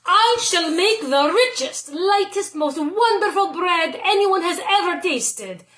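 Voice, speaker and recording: Woman as an earnest humble baker father, high-pitched. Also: animated, measured pace, fairly bright tone, heavily acted. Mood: excited. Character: humble Italian father, a baker, glum then exuberant.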